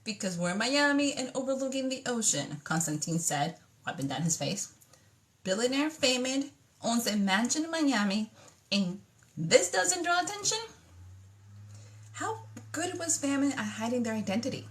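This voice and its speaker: A woman's voice: fairly high pitch, normal speed. A woman with a Dominican accent reading her own novel aloud, giving the characters their lines and stopping to chat about the story. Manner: chatty, animated dialogue voices. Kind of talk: book reading with asides.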